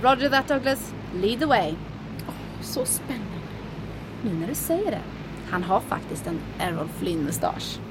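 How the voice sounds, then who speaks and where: An actress playing a brisk, dry-humoured woman pilot and resistance agent in a radio play. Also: mid-range voice, sing-song, poor audio. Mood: cool.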